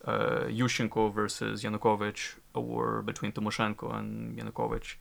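A man's voice: fairly low pitch, monotone, normal speed, vocal fry, fairly bright tone. A man from Ukraine speaking as a guest on a discussion panel, candid and emphatic, often restarting his sentences.

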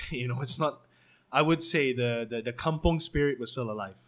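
A man's voice: mid-range voice, normal speed. Young guy w singaporean accent, easygoing interview chat, lots of ums and little laughs. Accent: Singaporean English. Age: 20s. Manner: relaxed, laughs often, many fillers. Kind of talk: casual conversational storytelling.

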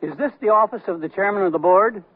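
An actor playing a crusty, sarcastic older father turned investigator, with dry deadpan delivery in a 1970s radio comedy. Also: high voice, fast talker, husky, narrow, tinny audio. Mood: dry, probing. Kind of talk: 1970s radio comedy acting and first-person narration. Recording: thin, noisy 1970s radio broadcast recording.

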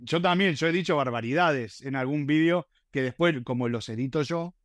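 A man's voice: on the high side, normal speed, no echo, clean studio sound. A man chatting casually on a podcast panel, telling stories in a rambling, self-deprecating way. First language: Spanish. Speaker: podcast host and video creator.

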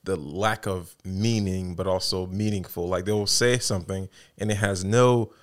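A man's voice: low voice, steady tone. A man in his thirties from the United States, co-hosting a casual podcast and often searching for his words. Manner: halting, searching for words, easygoing. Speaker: podcast co-host.

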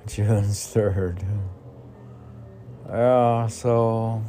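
A man reading poems aloud in a rambling manner, with muttered musing asides and questions to himself, in a gravelly voice. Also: fairly low pitch, steady tone, very slow, warm tone, a little hiss or hum. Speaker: poet reading own poems.

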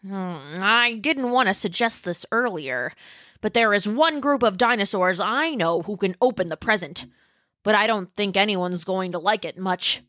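Storybook: a slow, easygoing boy dinosaur who drawls and hums, played by a woman, low and lazy. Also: animated, fairly bright tone, dry recording, studio quality. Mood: drawling. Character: curious young male pachycephalosaurus, easygoing.

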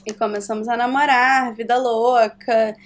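A woman from Rio de Janeiro with a Carioca accent, a guest chatting casually in an interview, animated and quick to laugh. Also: a little high. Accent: Carioca Portuguese. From Rio de Janeiro, Brazil. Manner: animated storyteller, laughs often.

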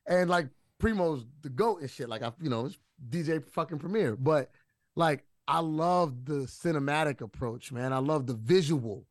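A man with a husky voice, talking loosely with lots of restarts and fillers as an interview guest. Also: on the high side, moderate pace, dry recording, clean studio sound. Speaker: rapper guest. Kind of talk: casual interview talk.

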